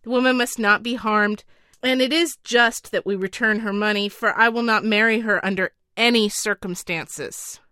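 A woman's voice: medium pitch, steady tone, moderate pace, pushed and bright, clear, resonant tone, no room sound, studio quality, close to an everyday voice. A woman voicing a young boy king who is polite but firm and commanding, in a storybook reading.

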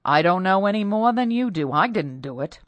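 Gruff fed-up husband, loud and blunt, read by a woman, dry close sound. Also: medium pitch, expressive, moderate pace, dry recording, lightly acted. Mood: exasperated. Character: stubborn irritated husband, resentful and gruff.

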